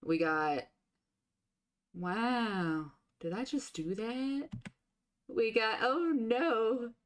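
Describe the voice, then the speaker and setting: A woman streamer chatting casually and rambling with filler restarts, her voice deepened by a sore throat. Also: extremely slow. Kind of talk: casual live-stream chat.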